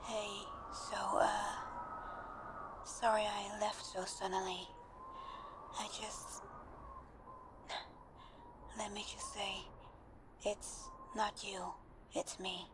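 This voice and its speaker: A woman playing a timid, anxious best friend in an intimate ASMR roleplay, speaking in a hesitant, breathy half-whisper as if leaving a voice message. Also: mid-range voice, flat delivery, very slow, long pauses, heavy background noise. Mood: apologetic, tender, anxious.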